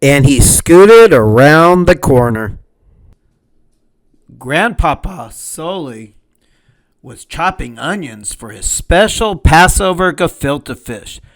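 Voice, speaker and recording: A man narrating a children's storybook aloud in a deliberate, expressive way. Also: fairly high pitch.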